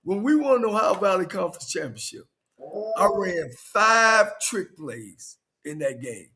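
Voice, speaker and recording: A man who coached football talks through plays in a direct, no-fuss way. His voice sits high for a man. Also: animated, a little slow, background noise. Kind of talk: casual sports talk.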